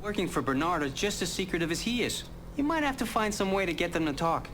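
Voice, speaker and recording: An actor playing a wry, eager tabloid reporter in a video game, chatty in manner. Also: male voice, high voice, lively intonation, fast, a bit bright, a little hiss or hum. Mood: conspiratorial. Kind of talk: video game cutscene acting.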